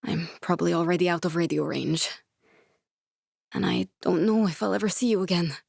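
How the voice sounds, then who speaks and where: A woman voicing an earnest, guarded young female scientist survivor in a video game, speaking in a measured, serious way. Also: fairly low pitch, fairly even intonation, creaky, darkish tone, no room sound, studio quality. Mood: earnest, explanatory. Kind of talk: dramatic video game dialogue.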